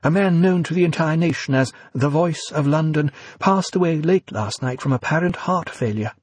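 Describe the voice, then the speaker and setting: A man's voice: fairly high pitch, moderate pace, breathy edge, no room sound, far from the mic, voice pushed up. A man playing a solemn female newsreader. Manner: formal announcing delivery. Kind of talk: audiobook character voice.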